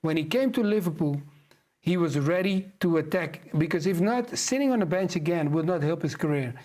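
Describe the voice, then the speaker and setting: A Dutch man with a notably high voice speaks in English with a clear Dutch accent. He is a football pundit on a studio panel, animated and quick to laugh, repeating phrases as he builds his point. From Netherlands. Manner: animated, laughs easily, repeats himself for emphasis.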